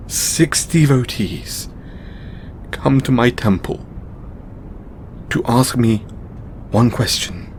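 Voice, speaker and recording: A man playing a weary, burdened god in an intimate audio roleplay, speaking haltingly with sighs and long pauses. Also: mid-range voice, a little hiss or hum. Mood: anguished, bitter. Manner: halting, sighing, with drawn-out pauses. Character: weary, burdened male god confiding in his lover. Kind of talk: audio roleplay monologue, intimate dramatic acting.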